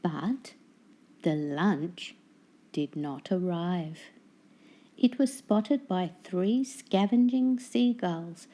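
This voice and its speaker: A woman reading a children's storybook aloud as narrator, with deliberate, dramatic phrasing. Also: lowish voice, mellow.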